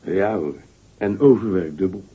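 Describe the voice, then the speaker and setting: A man's voice: low voice, normal speed, warm tone, slightly rough. An actor playing a sleepy, lazy, boastful folk-tale man in a radio play, drowsy and unbothered. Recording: dull, noisy old radio recording.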